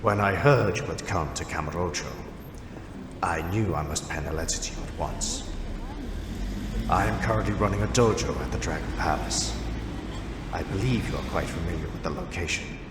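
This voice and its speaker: A man playing a courteous martial arts master in an audio drama, speaking formally and deliberately, as if reading a letter. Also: sits low, close to an everyday voice. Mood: courteous, measured. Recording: long echoing reverb, clear background noise.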